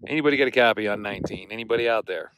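A man's voice: fairly low pitch, very fast, a bit bright. A man doing a casual field test outdoors, talking in a blunt, grumbling way. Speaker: radio gear reviewer. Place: outdoors on a mountain top. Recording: noisy outdoor recording with radio interference.

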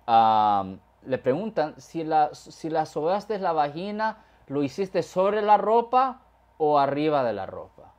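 Talkative California-born lawyer explaining law. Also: male voice, fairly high pitch, very animated, slow pace, a little mellow, muffled recording. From California, USA. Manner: explains at length, mixes English words into Spanish. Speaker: criminal defense lawyer. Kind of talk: conversational legal explanation.

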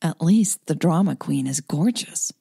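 A woman's voice: sits low, expressive, a little slow, vocal fry, warm tone, husky, no room sound, full character voice. A woman voicing a wry, tired young businesswoman who murmurs dry, teasing asides to her cat late at night.

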